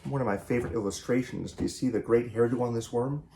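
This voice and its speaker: A man, a children's author, reading a picture book aloud in an animated, theatrical and emphatic way. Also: medium pitch, quick pace, vocal fry, slight background noise. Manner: theatrical, emphatic, with varied delivery.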